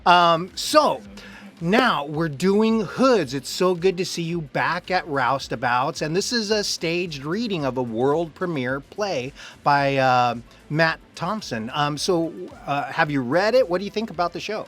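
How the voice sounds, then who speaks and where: A man's voice: fairly high pitch, animated, measured pace, a bit bright, faint music behind. A man doing a casual promotional interview, enthusiastic and effusive as he talks with a fellow actor.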